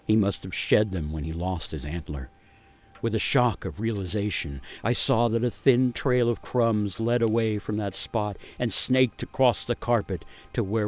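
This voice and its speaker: A man playing a grave gingerbread man, narrating a radio play as a haunted survivor with theatrical suspense and weighty pauses. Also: on the low side. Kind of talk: dramatic first-person storytelling for a radio play.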